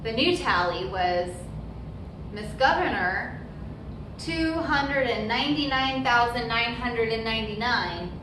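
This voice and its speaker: A woman's voice: fairly high pitch, a little slow. Echoey, distant woman reading a children's story. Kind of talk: read-aloud storytelling with brief asides. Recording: very echoey room, distant mic, noisy background.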